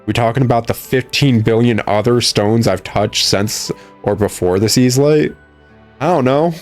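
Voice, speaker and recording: A man's voice: medium pitch, moderate pace, faint music behind. A man giving sarcastic, exasperated gameplay commentary. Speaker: gamer commentating a playthrough. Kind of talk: mocking gameplay commentary, reading game text aloud.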